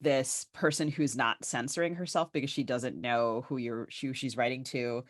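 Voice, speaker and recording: A woman's voice: a bass voice, steady tone, normal speed. A woman co-hosting a literary podcast, conversational and thoughtful, often searching for her words. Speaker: podcast co-host.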